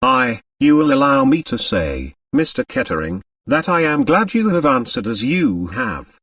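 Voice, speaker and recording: A man's voice: mid-range voice, big pitch swings, unhurried, fairly bright tone, no room sound, far from the mic. Audiobook role: reserved, matter-of-fact male emissary read by a man, careful and formal, recorded a little off-mic. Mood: hesitant. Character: stolid, formal, embarrassed male go-between.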